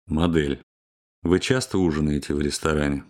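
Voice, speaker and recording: A man reading a scripted language drill, measured and clear. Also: extremely low pitch, steady tone, darkish tone. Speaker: language course speaker.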